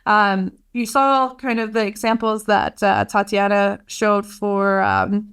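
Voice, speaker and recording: A Danish-accented woman hosting an online webinar, relaxed and chatty with a self-mocking streak. Her voice comes through smooth and clean over a video call setup. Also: mid-range voice, slow. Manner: chatty, self-deprecating, easygoing. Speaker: webinar host, marketing lead. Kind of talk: webinar wrap-up and Q&A.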